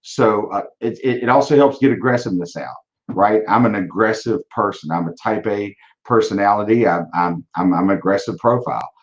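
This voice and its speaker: A man's voice: fairly low pitch, brisk, lo-fi sound. A man in his forties giving casual interview answers in a blunt, driven way, often repeating words as he restarts. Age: 40s. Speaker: auto dealership leader, guest.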